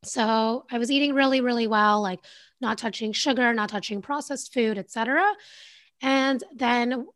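A woman's voice: a little high, steady tone, fairly bright tone. A woman in her twenties, a guest on a podcast, telling her story in a chatty way full of fillers. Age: 20s. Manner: chatty storytelling, lots of "like", quick bursts. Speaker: podcast guest, health coach. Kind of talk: casual interview chat.

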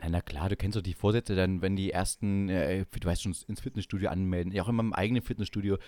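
A man with a fairly low voice, recorded close and dry. He chats casually as a podcast co-host, delivering his remarks with irony and mock earnestness. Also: fairly even intonation, quick pace, a little mellow, no room sound. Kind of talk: casual joking podcast chat.

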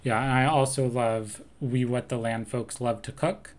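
A man's voice: medium pitch, fairly even intonation, normal speed. A man co-hosting a podcast, talking through song lyrics in a thoughtful, analytical way and quoting lines with relish. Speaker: podcast co-host. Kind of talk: casual chat.

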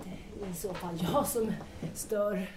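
A woman's voice: mid-range voice, fairly even intonation, crowd noise, lightly acted. A woman playing a polite, hesitant young guest in an audio drama, speaking in a reserved, formal way. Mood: shy, polite.

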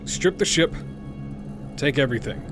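A man's voice: fairly high pitch, normal speed, lightly acted. A man acting a commanding, impatient, pious leader of space scavengers in an audio drama. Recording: loud music behind.

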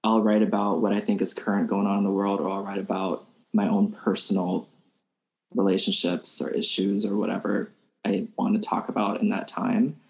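A young man from Alabama, a podcast guest musician, talking in a soft-spoken, hesitant way with many ums. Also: fairly low pitch, flat delivery, moderate pace, gravelly. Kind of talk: casual interview chat. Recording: roomy echo.

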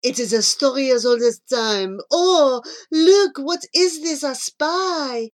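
A woman's voice: high-pitched, animated, slow, pitched above the performer's own voice. A woman doing a florid, lovestruck French pigeon. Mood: ardent wooing. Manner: florid, grandly romantic, easily distracted. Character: amorous, dramatic French male pigeon, flowery suitor. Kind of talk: children's storybook character.

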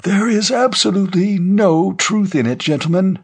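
Man acting a frail, high-voiced ancient general. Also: normal speed, breathy edge. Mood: firm denial. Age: over 100. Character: frail, ancient retired general, haughtily dismissive. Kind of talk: audiobook character voice.

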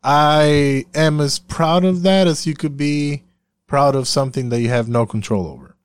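A man's voice: fairly high pitch, moderate pace, clear, resonant tone, dry recording. A man from Puerto Rico in a casual, profane discussion, speaking emphatically and often circling back to repeat himself.

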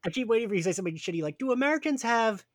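A man's voice: very high-pitched, animated, very fast. A man in his thirties hosting a podcast, asking guests questions and teasing playfully. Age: 30s. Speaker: podcast host. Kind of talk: casual podcast chat.

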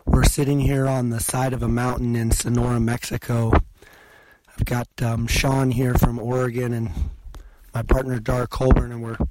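A hoarse man who has lost his voice, hosting an outdoor podcast on a mountainside and drawing practical lessons from his story. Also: medium pitch, level tone, normal speed, darkish tone, slight background noise, rough recording. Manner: instructive, recounting and drawing lessons. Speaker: hunting podcast host. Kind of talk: casual outdoor storytelling and advice.

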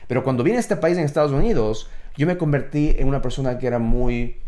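A man's voice: mid-range voice, quick pace, warm tone. A man with an Ecuadorian accent speaking conversationally and motivationally, telling personal anecdotes and repeating phrases for emphasis.

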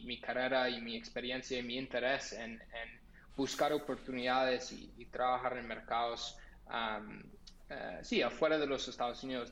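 A man's voice: mid-range voice, fairly bright tone, highs cut off. A man from the United States with an American accent, a startup founder speaking as a podcast guest in Spanish, hesitant with many fillers and restarts. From Los Angeles, United States. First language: English. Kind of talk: podcast interview.

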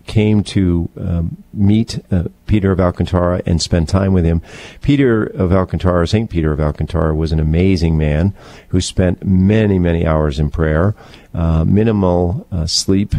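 A man co-hosting a conversational book discussion, explaining earnestly in a halting, deliberate way. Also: deep voice, warm tone, no room sound. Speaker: co-host presenting book recommendations.

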